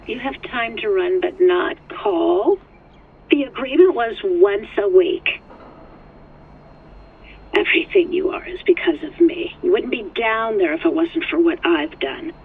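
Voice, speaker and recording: A wealthy, controlling middle-aged mother speaks over a thin, noisy phone line. Her voice sits fairly high and moves slowly, every phrase a curt, needling command from an audio drama. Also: sing-song, vocal fry, breathy edge, exaggerated voice. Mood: cold reproach. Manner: domineering, deliberate, curt commands. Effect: phone call filter. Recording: muffled phone line with background noise.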